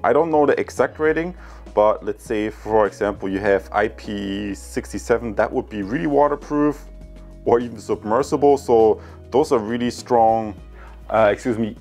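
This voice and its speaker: A man's voice: on the low side, darkish tone. A man in his thirties giving a casual product review, explaining things in a hesitant, repetitive way.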